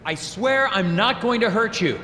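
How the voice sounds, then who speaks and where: An actor playing an eager, polite puzzle agent in a video game cutscene, earnest and deferential. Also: male voice, high voice, expressive, unhurried, sharp tone, full character voice. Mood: reassuring, focused. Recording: noisy, echoey with long reverb.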